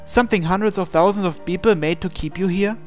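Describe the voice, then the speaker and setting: A man reading scripted, dramatic story narration in a measured, solemn way. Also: high voice. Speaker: narrator reading translated game text. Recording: music behind the voice. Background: music.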